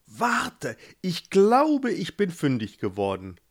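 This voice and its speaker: A man's voice: sits high, huge pitch swings, slow, voice pushed up, full character voice. A man voicing a haughty, scheming prince who is the brother of the hero. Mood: sly. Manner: haughty, impatient.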